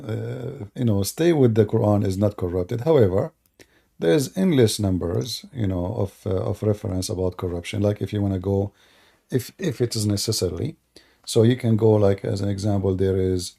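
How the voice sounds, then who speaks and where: A man's voice: on the low side, vocal fry, mellow. A middle-aged man from the Middle East with an Arabic accent, hosting a live stream chat in a dismissive manner and laughing often. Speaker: host.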